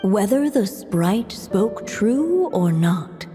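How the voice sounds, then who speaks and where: A woman playing a measured, wry storybook narrator in a video game, speaking in deliberate literary phrases. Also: mid-range voice, very animated, warm tone, soft background music. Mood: solemn, knowing. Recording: long reverb around the voice.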